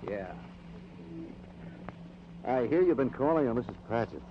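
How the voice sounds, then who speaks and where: An actor playing a cornered, sardonic murderer who works as a projectionist, in an old radio crime drama. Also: male voice, medium pitch, animated, unhurried. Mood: wary, taunting. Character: sneering, cornered male murderer, a movie projectionist. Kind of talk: 1940s-50s radio crime drama acting. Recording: muffled, noisy old radio recording.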